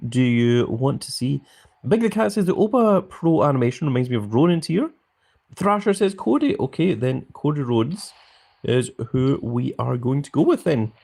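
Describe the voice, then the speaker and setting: A man's voice: fairly high pitch, vocal fry, a little mellow. A young man live-streaming a mobile game, chatting casually and reading viewers' comments aloud with frequent ums.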